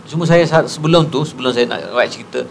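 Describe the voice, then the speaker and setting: A man's voice: mid-range voice, quick pace, creaky, a little hiss or hum. A retired man in his sixties with a Patani Malay accent, earnestly explaining a point in a studio interview. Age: 60s. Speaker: guest. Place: TV studio set, on a couch. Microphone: lapel mic.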